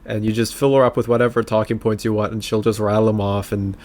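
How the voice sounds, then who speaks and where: A man's voice: on the low side, level tone, fast, a little mellow. A man co-hosting a casual political podcast, bantering in a sardonic, mocking manner. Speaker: podcast co-host.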